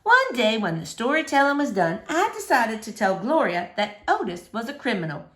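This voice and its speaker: A woman narrates a story for children. Her voice is on the high side. She reads very expressively, with wide swings in pitch from phrase to phrase. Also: big pitch swings, moderate pace, a bit bright.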